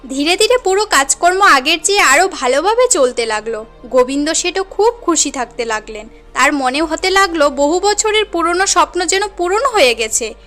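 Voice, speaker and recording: High-voiced woman narrating a cartoon story. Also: expressive, moderate pace, bright, forceful tone, soft background music.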